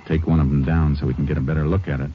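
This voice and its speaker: An actor playing a calm, clipped Texas Ranger in a 1950s radio drama. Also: male voice, extremely low pitch, racing, a little hiss or hum. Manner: calm, clipped, matter-of-fact. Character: steady, terse male Texas Ranger. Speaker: Texas Ranger, lead and narrator. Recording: muffled old radio broadcast with music.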